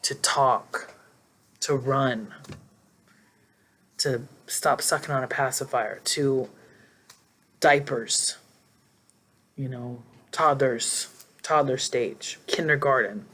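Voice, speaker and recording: A woman's voice: extremely low pitch, slow, pauses often, fairly bright tone. A woman in her forties talking casually and emotionally to her phone in a personal vlog, rambling and reflective. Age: 40s. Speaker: vlogger. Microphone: phone held in hand.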